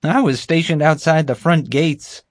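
Man putting on a high, excitable bumbling guard. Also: unhurried, no echo, clean studio sound. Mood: excited pride. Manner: boastful, oblivious, excitable. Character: bumbling, proud young male security guard. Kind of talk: audiobook character voice.

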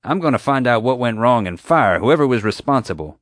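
A man's voice: medium pitch, animated, brisk, dry recording, clean studio sound, pitched above the performer's own voice, natural voice. A man voicing a blustering middle-aged male park owner who talks in a folksy, pushy, defensive way. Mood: boastful.

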